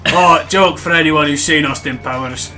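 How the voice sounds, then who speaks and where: A man acts out a brash Scottish gamer with a bright, forceful voice and a blunt, sweary way of talking. He gives comic gameplay commentary and gets shouty, with music playing behind him. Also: a little high, normal speed. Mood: loud, riled. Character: brash, foul-mouthed, angry-sounding Scottish man.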